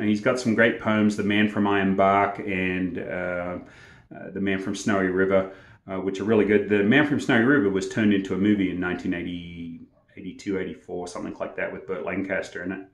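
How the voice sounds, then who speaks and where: A man's voice: low-pitched, fairly even intonation, brisk. An Australian man in his sixties hosting a storytelling show, reciting and chatting to camera. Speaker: host and storyteller. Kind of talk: poetry recitation and casual talk to camera. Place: small indoor room with plain walls, echoey. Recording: echoey indoor room.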